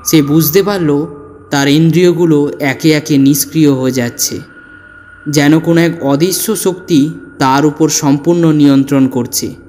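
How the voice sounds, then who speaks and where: Solemn male storyteller with mellow tone. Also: on the high side, steady tone, slight background noise. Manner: measured, solemn. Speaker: storyteller, narrator. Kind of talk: scripted dramatic horror story narration. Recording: long reverb, music behind.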